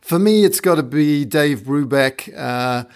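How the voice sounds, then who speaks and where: A man raised in England, hosting a podcast interview in a casual, halting way full of fillers and restarts. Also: a little high, lively intonation, smooth. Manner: hesitant, many fillers and restarts. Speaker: podcast host.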